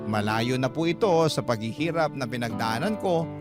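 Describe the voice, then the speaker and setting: A man's voice: mid-range voice, expressive, moderate pace. A man with a Filipino accent reading a scripted first-person story as a radio drama narrator. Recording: guitar music under the voice.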